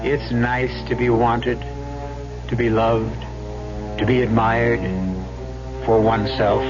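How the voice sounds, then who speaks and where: A man hosting and narrating a radio mystery in a measured, wry scripted manner. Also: mid-range voice, completely flat delivery. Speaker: host and narrator. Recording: muffled old radio broadcast with music. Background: music.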